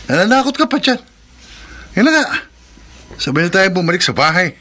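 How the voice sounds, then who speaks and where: A man playing a jumpy, put-upon husband in a horror radio drama, expressive and plaintive. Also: sits high, brisk, heavily acted. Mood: startled, skeptical.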